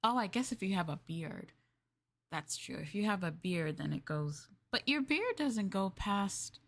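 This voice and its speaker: A woman livestreamer in casual roleplay chat, playing a polite, chatty maid and often dropping into soft, breathy near-whispers. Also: mid-range voice, sing-song, unhurried. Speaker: VTuber livestream host.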